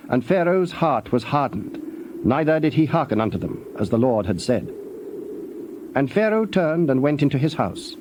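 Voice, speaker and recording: A man narrating dramatized scripture in a measured, formal way. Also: mid-range voice, background noise.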